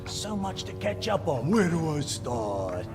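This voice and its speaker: A man playing a chatty, scatterbrained orc braggart in a video game cutscene, gravelly and theatrical, rambling with comic asides. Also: a little high, sing-song, measured pace, in a large hall, over music. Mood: sly, amused.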